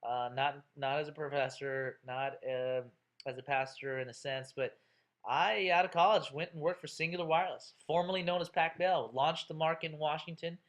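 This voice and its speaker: A man's voice: a little high, fairly even intonation, moderate pace, fairly bright tone. Chatty man teaching a class. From Texas, USA. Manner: chatty and joking, many fillers. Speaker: college instructor. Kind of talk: classroom self-introduction.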